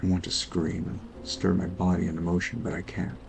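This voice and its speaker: A man playing a weary, brooding detective, narrating in a measured film noir style for an audio drama. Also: deep voice, level tone, gravelly, a little hiss or hum. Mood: uneasy, disbelieving. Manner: brooding, measured first-person monologue. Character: weary, sleepless noir detective brooding over murder cases. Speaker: voice actor narrating an audio roleplay.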